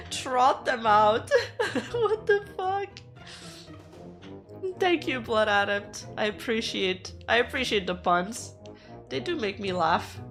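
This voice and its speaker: Woman, hoarse voice, casual livestream talk, slow delivery, easy laugh. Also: fairly high pitch, expressive, fairly bright tone, soft background music. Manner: chatty, rambling, easily laughing. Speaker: streamer. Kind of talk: casual livestream chat with viewers.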